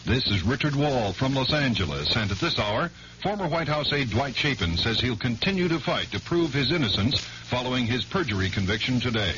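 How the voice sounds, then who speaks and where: A man's voice: mid-range voice, very animated, fairly quick, sharp tone. An American man anchoring a scripted network radio newscast. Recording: muffled old radio, faint noise.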